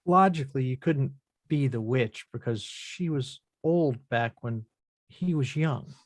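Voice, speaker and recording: A man's voice: medium pitch, unhurried, no room sound, close to an everyday voice. Dry-sounding, earnest grown son played by a man. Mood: sober, earnest. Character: earnest, reasoning adult son seeking the truth. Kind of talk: table read acting.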